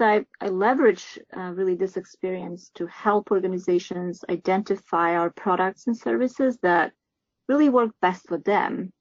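Female business director from Bosnia, podcast guest explaining her work, mid-pitched, slightly dull sound. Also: no room sound.

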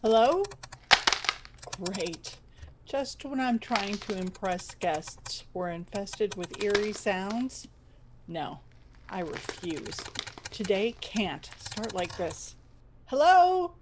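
A woman's voice: medium pitch, sing-song, slow, noisy background. A woman playing an earnest, frazzled radio station boss in a scripted audio comedy, theatrical and wry. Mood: exasperated.

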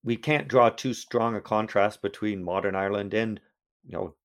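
A man's voice: on the low side, normal speed. A man, a history professor, answering interview questions in a thoughtful way with frequent restarts.

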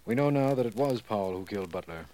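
An actor playing an earnest, impressed district attorney, asking questions in 1940s radio drama style. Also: male voice, lowish voice, lively intonation, fast talker, mellow, close to an everyday voice, low-quality recording. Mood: earnest, inquiring. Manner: earnest, inquiring.

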